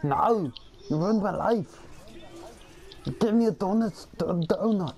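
A creaky-voiced player bantering casually during an online game, exclaiming and repeating things in a mock-pompous way. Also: medium pitch, slow, warm tone.

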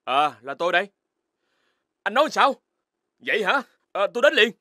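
A man voicing an excitable, fussy grown-up in a children's cartoon, with an exclamatory delivery. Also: sits high, normal speed, no room sound, studio quality, full character voice. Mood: cheerful. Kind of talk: Vietnamese children's cartoon dubbing.

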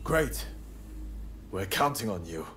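A man's voice: on the low side, very animated, takes it slowly. A man playing a zealous, calculating samurai plotter in a video game, businesslike and intent. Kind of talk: dramatic video game voice acting. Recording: clear ambient noise behind.

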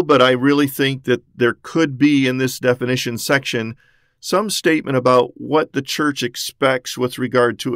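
Male podcast host, mid pitch, dry close mic, steady methodical scripted teaching. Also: no echo. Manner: measured, methodical, lists points one by one. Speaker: podcast host on church missions.

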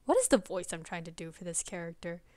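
Girl chatting to viewers, hobby voice actor, animated, super dry sound. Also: lowish voice, fast talker, dry recording. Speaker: amateur voice actor. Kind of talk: casual chat to viewers.

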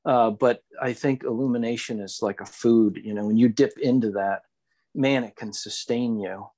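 A man's voice: medium pitch, steady tone, normal speed, mellow. A man co-hosting a podcast, talking casually and reflectively, feeling his way through phrases and often repeating words. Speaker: podcast co-host. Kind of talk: reflective casual discussion of spirituality.

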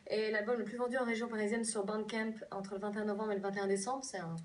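A woman's voice: mid-range voice, level tone, fast. A woman, interviewing a guest on a live morning TV show. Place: TV news studio, replayed from a television. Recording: distant, captured off a TV screen.

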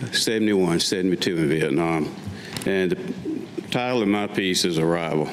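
An older man of about seventy reads a personal story at a lectern with wry asides. His voice is fairly low, carried in a reverberant room with faint noise behind it. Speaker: Vietnam veteran reading his own piece. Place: public meeting room with audience, indoors. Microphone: gooseneck lectern microphone.

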